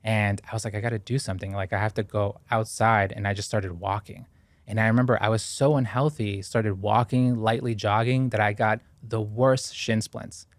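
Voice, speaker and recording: Earnest, thoughtful man with a low voice. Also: fairly even intonation, normal speed, no room sound. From Ecuador. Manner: earnest, thoughtful, with frequent fillers and restarts. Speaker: guest. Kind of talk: reflective interview talk.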